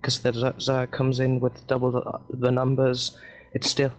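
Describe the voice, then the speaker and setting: A man with an English accent, raised in Germany, talking earnestly and haltingly in a casual voice chat with his gaming guild. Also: medium pitch, completely flat delivery. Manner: earnest, halting, many restarts. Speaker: guild member. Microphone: headset over voice chat.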